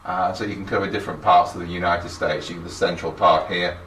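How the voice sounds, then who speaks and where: Low-voiced man in a roomy space. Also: steady tone, quick pace, a bit bright. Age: middle-aged or older. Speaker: station engineer giving a guided tour. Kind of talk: informal technical tour narration. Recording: roomy echo with faint background noise.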